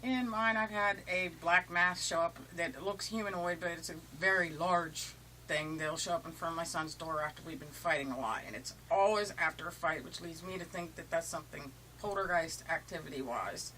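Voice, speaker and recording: A woman's voice: fairly low pitch, normal speed, sharp tone, slight background noise, poor audio. A woman in her late thirties from Ohio, speaking in a sarcastic, matter-of-fact way in an audition video. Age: 30s. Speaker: casting applicant.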